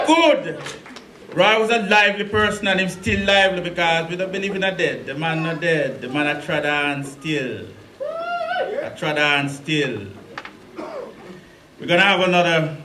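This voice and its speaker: A man with a hosting a live event, calling out to the audience and introducing the next poet. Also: sits high. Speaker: event host. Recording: echoey hall, crowd noise.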